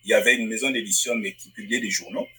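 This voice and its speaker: A man from Africa with a bright, forward tone and a husky edge to his voice. He answers interview questions as a publisher and writer, thinking aloud and often circling back to restart a phrase. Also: lowish voice, fast talker. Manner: thoughtful, restarts phrases while searching for words. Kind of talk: interview guest. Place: Northwest Territories.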